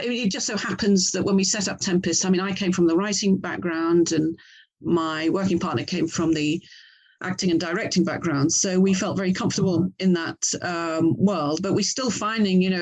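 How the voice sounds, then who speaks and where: A woman's voice: fairly low pitch, a little mellow, rough recording. A middle-aged woman with an English accent talking casually as an interview guest, thoughtful and chatty. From England. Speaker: writer and audio drama producer, interview guest.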